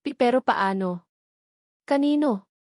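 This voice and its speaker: A woman voicing a distraught young nurse in a story, pleading in a desperate, halting manner. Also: a little high, animated, a little mellow, no echo, studio quality, voice pushed up. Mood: tearful, pleading. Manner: stammering, desperate.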